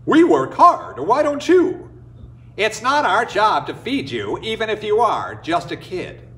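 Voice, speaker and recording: Scornful storybook villagers, voiced by a man, talk in a raised, animated pitch. The delivery is stingy, dismissive and taunting. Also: measured pace, heavily acted. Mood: scolding. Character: scornful, stingy folktale villagers mocking a child.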